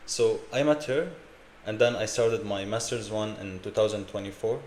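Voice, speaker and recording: A young man in his twenties from Lebanon with a Lebanese accent, telling his story as an interview guest in a relaxed way with fillers. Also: lowish voice, normal speed, echoey room, a little hiss or hum. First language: Arabic. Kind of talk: casual interview.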